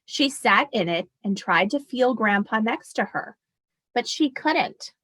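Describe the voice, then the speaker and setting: A woman's voice: on the high side, lively intonation, unhurried, sharp tone. A woman teacher reading a picture book aloud expressively and explaining it to children as she goes.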